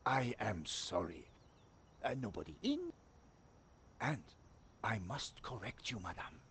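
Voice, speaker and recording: An actor playing an apologetic, pedantic police sergeant in a video game, halting and formal. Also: male voice, fairly low pitch, lively intonation, creaky, fairly bright tone, a little hiss or hum, exaggerated voice.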